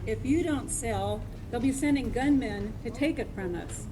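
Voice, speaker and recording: Female reenactor as a high, pleading frontier wife, noisy outdoor take. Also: normal speed, natural voice. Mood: anxious. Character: fearful frontier rancher's wife. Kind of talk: outdoor Wild West reenactment.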